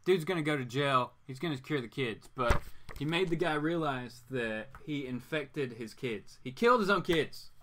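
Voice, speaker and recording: A man's voice: a little high, pushed and bright. A man hosting in a casual, slangy way, recapping and summing up a story in informal talk. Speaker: comics channel host. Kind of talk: casual spoken story summary.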